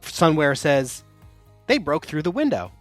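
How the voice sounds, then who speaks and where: Male game master, mid pitch, rules explainer for a tabletop session, with faint background music. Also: big pitch swings, unhurried.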